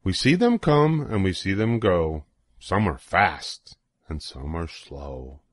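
Older man, lowish pitch, dry close recording, sing-song children's storybook reading, deliberate pauses. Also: very animated, no room sound. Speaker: storybook reader. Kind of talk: expressive children's picture-book read-aloud.

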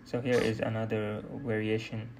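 A young man giving casual gameplay commentary in a hesitant, halting way. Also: lowish voice, completely flat delivery, background noise. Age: young adult. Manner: hesitant, halting delivery with trailing phrases. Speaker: gaming video creator. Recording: loud music and game audio behind. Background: loud music.

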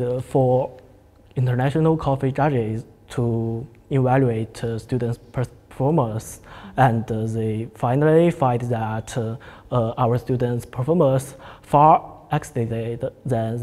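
Young student guy on a panel, kinda hesitant, soft dark tone, echoey room. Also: medium pitch, steady tone, takes it slowly. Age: early 20s. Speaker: student guest, coffee club leader. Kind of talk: panel discussion. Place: large indoor cafe-like room. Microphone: lapel.

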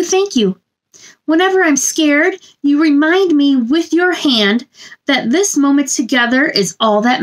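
A woman in her thirties doing a children's read-aloud, with a gentle sing-song delivery and chatty asides addressed to young listeners. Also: sits high. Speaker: children's storytime host reading a picture book aloud. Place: small indoor room.

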